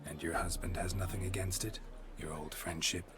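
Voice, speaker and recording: An actor playing a stoic, world-weary monster hunter in a video game, with a terse, deadpan delivery. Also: male voice, low voice, moderate pace, lightly acted. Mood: dry, inquiring. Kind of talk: video game dialogue acting. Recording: music loud behind the voice.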